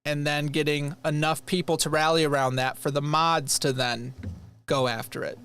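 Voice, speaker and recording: A man's voice: on the high side, normal speed, clear, resonant tone. A man from Rochester, New York, hosting a livestream and chatting earnestly as he reacts to a replay. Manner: earnest, rambling, animated. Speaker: livestream host. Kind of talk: casual chat reacting to a replay.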